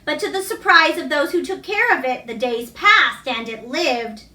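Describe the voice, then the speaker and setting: A woman's voice: high voice, expressive, normal speed, bright, forceful tone. A woman reading a novel aloud as storybook narration. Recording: echoey room, distant mic.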